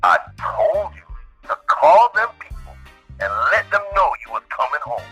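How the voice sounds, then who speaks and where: A man's voice: fairly high pitch, normal speed, husky, no room sound, soft background music, exaggerated voice. A man playing a streetwise friend who gives blunt advice in a radio drama. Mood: advising. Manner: animated and preachy.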